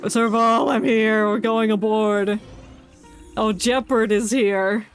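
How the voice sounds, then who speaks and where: A man's voice: high-pitched, steady tone, slow pace, smooth, faint music behind. A man commentating casually on a game stream with playful, offhand reactions.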